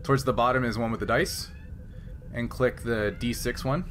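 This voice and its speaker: A man running a tabletop roleplaying game as game master, explaining rules and thinking aloud in a halting way. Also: medium pitch, fairly even intonation, fairly bright tone, soft background music. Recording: noisy background.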